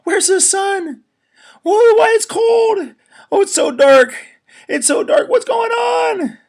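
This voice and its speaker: A man voicing a crowd of frightened forest animals, their cries high and anxious, in a storybook tale. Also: animated, slow pace, vocal fry, full character voice. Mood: panicky.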